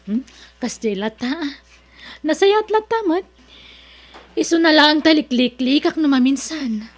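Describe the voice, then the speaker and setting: A middle-aged woman with an Ilocano accent acting a gentle, humble mother in an audio drama. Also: high voice, expressive, unhurried. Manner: gentle and pleading.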